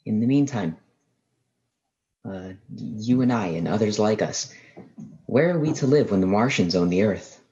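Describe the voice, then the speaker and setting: A man's voice: lowish voice, lively intonation, measured pace, long pauses, dark tone, lo-fi sound. A man playing a weary, educated survivor in a radio play, asking earnest, doubtful questions. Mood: wary, doubtful. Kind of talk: 1930s-style radio play acting.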